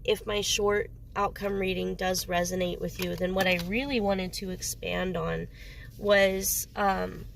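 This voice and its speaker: Woman tarot reader with a head cold, gravelly voice, slightly noisy background, rambles and searches for words. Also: fairly low pitch, fairly even intonation, a little slow. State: sniffly with a cold. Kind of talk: casual solo tarot reading.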